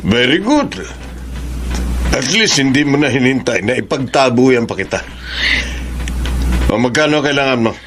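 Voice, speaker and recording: An actor playing a cold, scornful middle-aged husband in a Filipino radio drama. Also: male voice, medium pitch, expressive, unhurried, long pauses, fairly bright tone, a little hiss or hum. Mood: smug.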